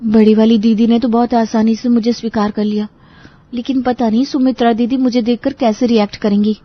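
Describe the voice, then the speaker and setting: Woman doing a earnest nervous young girl about to marry, audio story, really high voice, bit muffled. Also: level tone, fairly quick, no room sound, natural voice. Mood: worried. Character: anxious, earnest young bride-to-be, easily impressed. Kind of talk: Hindi audio story acting.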